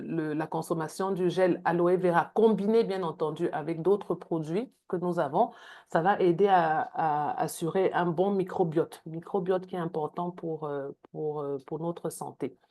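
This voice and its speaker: A woman's voice: lowish voice, dark tone. A woman giving a product training on a video call, with frequent hesitations and repeated words. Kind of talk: online training talk.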